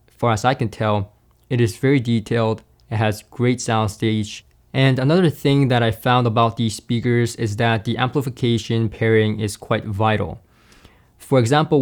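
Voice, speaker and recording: A young man speaks to camera as an equipment reviewer. His voice sits at a middle pitch, and he explains things in a measured, conversational way. The recording comes from a small, acoustically treated studio. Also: a little mellow. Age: young adult. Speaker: video host and audio equipment reviewer. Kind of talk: presenter-style product review to camera.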